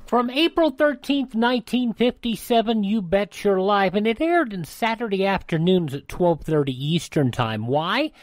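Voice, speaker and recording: A man's voice: high voice, expressive, moderate pace, few pauses. A man hosting a classic radio program, chatting casually and signing off with fillers. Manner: chatty, friendly sign-off with fillers. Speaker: radio host. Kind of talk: casual radio show outro.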